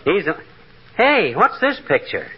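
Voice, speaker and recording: An American man playing a lovable, bumbling, excitable wisecracking husband in 1940s radio comedy, blustery and joking. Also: high-pitched, measured pace, full character voice. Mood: amused. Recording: noisy, muffled 1940s radio recording.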